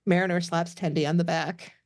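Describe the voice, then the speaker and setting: A woman's voice: on the low side, fairly even intonation, a little fast, dry recording, studio quality. A woman narrator reading scripted stage directions for a podcast table read.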